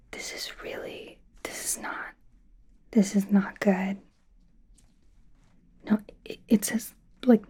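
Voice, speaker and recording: A woman playing an anxious, apologetic young werewolf medical student in a soft, hesitant ASMR roleplay whisper. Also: mid-range voice, breathy edge. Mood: puzzled, worried. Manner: hesitant, halting, nervous.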